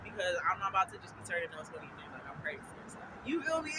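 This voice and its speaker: A woman's voice: on the low side, sing-song, rapid-fire. A woman in her twenties chatting casually to camera while waiting at a bus stop, constantly asking "you feel me" and often cracking up with laughter. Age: 20s. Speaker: vlogger. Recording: outdoor street traffic noise.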